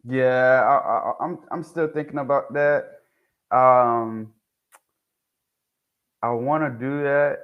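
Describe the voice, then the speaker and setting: A man's voice: medium pitch, steady tone, slow, long pauses, clean tone. A young man from Haiti, a technician who is the guest, talking hesitantly about his path into the trade. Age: young adult. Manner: soft-spoken, hesitant, restarts often. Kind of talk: casual interview talk. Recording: dull lo-fi sound.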